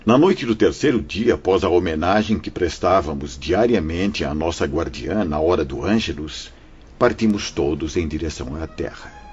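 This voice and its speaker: Actor as a deep-voiced, earnest spirit missionary. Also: male voice, expressive, normal speed, darkish tone. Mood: solemn, calm. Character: kindly, earnest Portuguese male spirit missionary telling his rescue journey. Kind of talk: audio drama acting, storytelling. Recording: dull audio with background music.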